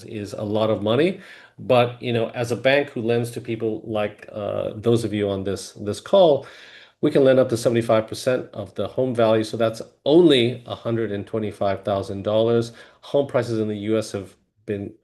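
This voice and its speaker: A man's voice: medium pitch, a little mellow. A middle-aged man hosting a video-call webinar, talking casually with many fillers and restarts. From Hong Kong family, grew up in Singapore. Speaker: webinar host, mortgage lender.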